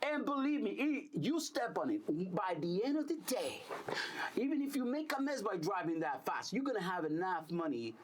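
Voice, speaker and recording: A middle-aged man from Honduras, a real estate broker, telling his story in a casual, energetic conversation. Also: high-pitched, moderate pace, slight background noise. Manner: animated storytelling, repeats words, energetic.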